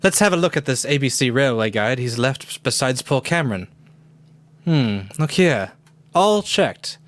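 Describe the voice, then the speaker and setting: A man playing a bluff, excitable upper-class British gentleman with theatrical exclamations in an amateur radio play. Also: mid-range voice, a little slow, close to an everyday voice. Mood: grave, urgent. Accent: put-on British. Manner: theatrical, old-fashioned exclamations.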